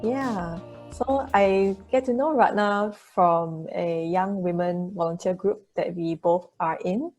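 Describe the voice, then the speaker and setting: A woman hosts an interview podcast in a slightly low voice with a husky edge. She speaks conversationally to guest and listeners, with faint music underneath. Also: takes it slowly, few pauses, a little mellow. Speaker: podcast host. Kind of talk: scripted intro and conversational interview.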